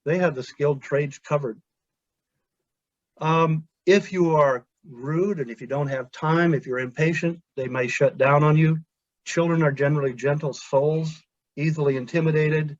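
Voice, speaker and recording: A male chaplain whose first language is Pennsylvania Dutch, giving a measured, earnest webinar talk with pauses and restarts. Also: fairly high pitch, steady tone, no room sound. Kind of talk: webinar presentation. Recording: dull, dry lo-fi audio.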